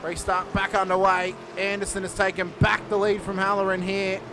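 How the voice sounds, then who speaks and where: A man calls a sim race live in a fairly high voice, cheeky and quick with a joke. Loud engine noise runs steadily beneath his words. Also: completely flat delivery. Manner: cheeky, joking, quick to laugh. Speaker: race commentator. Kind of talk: live sim racing commentary.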